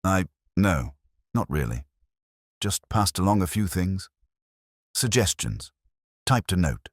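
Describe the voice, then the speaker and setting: A man voicing an anxious, bitter small-town woman in a mystery story, hesitant, hushed and defensive. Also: deep voice, big pitch swings, slow pace, no room sound, studio quality, pitched above the performer's own voice, full character voice.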